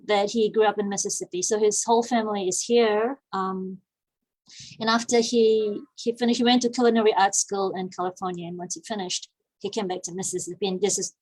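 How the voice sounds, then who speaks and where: A woman's voice: mid-range voice, steady tone, a little fast, darkish tone, no echo, poor audio. A woman in her forties from Malaysia, a guest talking casually in an interview, chatty and laughing easily. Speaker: guest, business founder.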